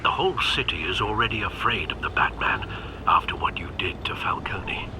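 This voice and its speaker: A man playing a loyal older British butler in a video game, measured and fatherly as he gives cautious counsel. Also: on the low side, lively intonation, in a whisper, lightly acted. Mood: concerned, advising. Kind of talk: dramatic video game acting.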